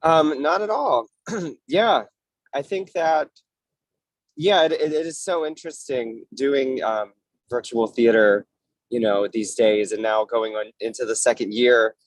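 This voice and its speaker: A man's voice: mid-range voice, a little slow, no echo, low-quality recording. A man speaking casually as a theater director guest on a video call panel, chatty and loosely worded.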